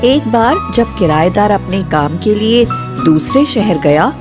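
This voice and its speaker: A woman's voice: mid-range voice, lively intonation, a little slow. A woman narrating a scripted horror story as a storyteller. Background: music.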